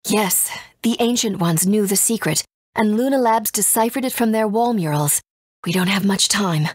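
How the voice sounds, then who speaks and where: A woman's voice: medium pitch, normal speed, breathy edge, no echo, studio quality, natural voice. A woman playing a composed, authoritative guide in a video game, serious and explanatory. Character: composed, authoritative woman guiding young heroes.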